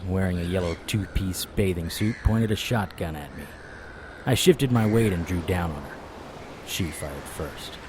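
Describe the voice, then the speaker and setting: An actor playing a weary, wry private investigator in a noir audio drama, terse and deadpan. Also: male voice, sits low, background noise.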